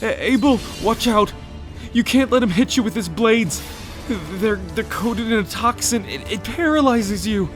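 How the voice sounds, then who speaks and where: A man's voice: high voice, animated, measured pace, faint music behind. A man playing a timid, loyal young half-merman in a fantasy audio drama, stammering and pleading with emotion. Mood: panicked warning.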